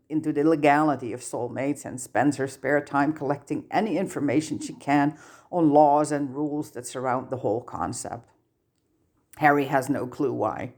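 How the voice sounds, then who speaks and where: A woman narrating a piece of fiction from a script, as an audiobook reader does. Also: rumbling low.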